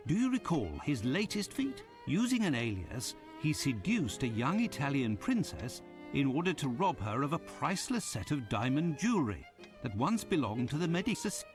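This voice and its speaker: A man playing a long-winded, excitable gentleman in a video game, with a pompous, theatrical delivery. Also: on the high side, normal speed. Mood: gossipy, amused. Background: game music.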